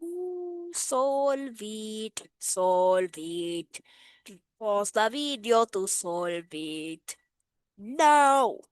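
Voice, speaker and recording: A woman's voice: medium pitch, very animated, very slow, smooth, no echo. A woman reading multiple-choice quiz questions aloud while putting on a playful, exaggerated Indian accent. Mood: teasing. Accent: put-on Indian.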